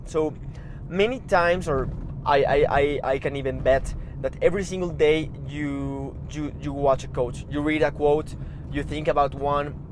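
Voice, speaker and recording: A man with a Mexican Spanish accent hosts a motivational podcast in English, his second language, with a somewhat high voice. He talks earnestly and urges listeners on, stumbling and repeating words as he goes. Street noise and cars are clearly heard behind him. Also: fairly even intonation, a little slow. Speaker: podcast host.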